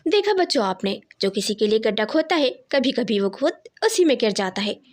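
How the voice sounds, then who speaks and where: A woman tells a children's cartoon story from a script. Her voice is high, with animated, swooping intonation. She narrates expressively, with a dramatic storyteller's delivery. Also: moderate pace.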